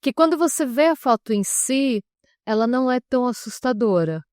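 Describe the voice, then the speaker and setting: A woman's voice: fairly high pitch, moderate pace, no echo, studio quality. Chatty woman co-hosting a podcast. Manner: chatty, relaxed, wanders into asides. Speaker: podcast co-host. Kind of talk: casual storytelling chat.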